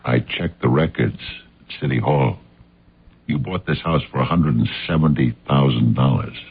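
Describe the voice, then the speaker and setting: Very deep, gravelly, dogged investigator. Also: male voice, exaggerated voice. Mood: probing, suspicious. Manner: halting, pressing questions with many ums. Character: gruff probing male sleuth, dogged and wary. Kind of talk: radio drama acting. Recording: muffled lo-fi radio drama recording.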